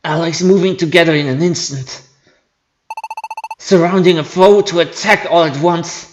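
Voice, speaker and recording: A man's voice: fairly high pitch, moderate pace, muffled recording, background noise, lightly acted. A man voicing a pompous, boastful creature vice principal who lectures his class in an amateur game dub. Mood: lecturing. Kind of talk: amateur video game voice-over.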